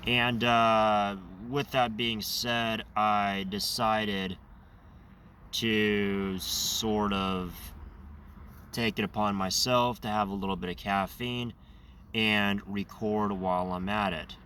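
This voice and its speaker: A man hosting his own channel, talking in a loose, rambling monologue with a raspy, slightly nasal voice, congested and over-caffeinated. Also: on the low side, steady tone, slow, pushed and bright, recorded from a distance, a little hiss or hum. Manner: rambling, self-correcting. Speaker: channel host. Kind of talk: casual monologue.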